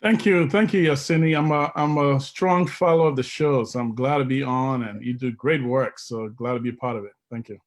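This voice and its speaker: Male interview guest, middle-aged, mid pitch, casual delivery with repeated phrases. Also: fairly quick, hardly any pauses. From Liberia. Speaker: guest, investment consultant.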